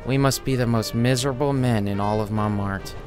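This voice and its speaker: A man's voice: mid-range voice, fairly even intonation, brisk, darkish tone, soft background music, voice pushed down, lightly acted. A man voicing a heartbroken, exasperated young student in an audio short story, self-pitying and prone to swearing. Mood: dejected.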